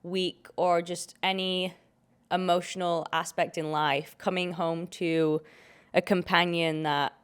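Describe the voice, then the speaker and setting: Hoarse, low-voiced British woman with a cold. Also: slow. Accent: British English. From Britain. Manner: chatty, relaxed, jokey. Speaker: podcast host. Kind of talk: casual chat.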